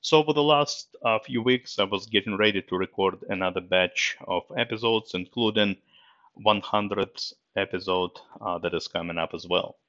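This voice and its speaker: A middle-aged man from Russia with a Russian accent, hosting a solo podcast episode, speaking haltingly with many fillers in a subdued way. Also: fairly low pitch, fairly bright tone, no room sound, highs cut off. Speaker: podcast host.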